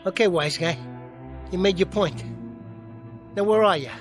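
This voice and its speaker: An actor playing a cocky, hot-tempered jockey in a radio drama, with streetwise, slangy, combative talk. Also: male voice, high-pitched, a little slow, soft background music. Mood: irritated, agitated. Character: cocky, defensive, hot-tempered jockey, quick to take offence.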